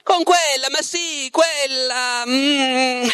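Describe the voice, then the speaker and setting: A man's voice: a little high, expressive, a little slow, fairly bright tone, no room sound. Man reading as a tormented, lovestruck writer, confiding. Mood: flustered, impatient. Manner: confiding, dramatic, addresses the listener with rhetorical questions. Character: lovestruck, tormented male writer in a psychiatric clinic. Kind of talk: dramatic literary reading.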